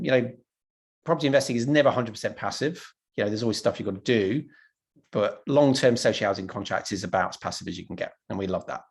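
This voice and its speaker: A man from London giving an online property presentation in a relaxed, conversational way, with lots of ums and restarts. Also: medium pitch. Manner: casual, many ums and restarts.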